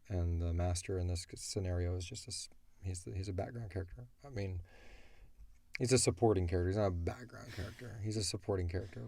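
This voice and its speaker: Deep, gravelly man in casual podcast chat. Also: a little mellow, dry recording. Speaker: audio drama creator answering listener questions.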